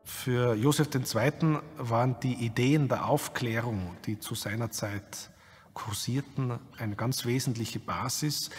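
A man's voice: mid-range voice, unhurried, soft background music. A man giving an explanatory interview as a history expert, recorded in a reverberant hall.

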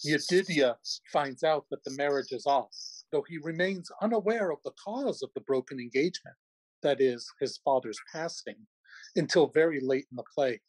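Male narrator, forties, slightly high and raspy, dry sound, scripted storytelling. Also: moderate pace, darkish tone, dry recording, poor audio. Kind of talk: scripted narration of a play's plot. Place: home room, video call.

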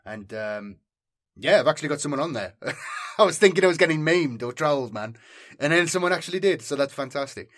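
A man's voice: a little high, expressive, a little fast, a bit bright. A man hosting a channel, chatting casually and unscripted to his viewers in a rambling, informal way. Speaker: channel host.

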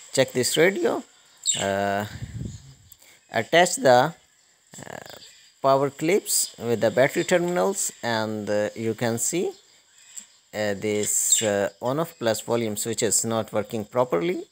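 A man hosting a hands-on electronics repair tutorial, explaining step by step in a halting manner. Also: lowish voice. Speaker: host of electronics repair channel. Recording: clearly noisy recording with clicking.

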